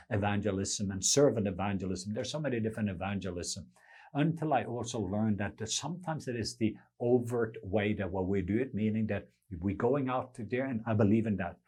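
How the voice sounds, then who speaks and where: Norwegian guy preaching on his podcast, kinda husky voice, earnest and slow, english is his second language. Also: on the low side, a little mellow. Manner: earnest, slow and deliberate, with non-native phrasing. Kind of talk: unscripted teaching monologue.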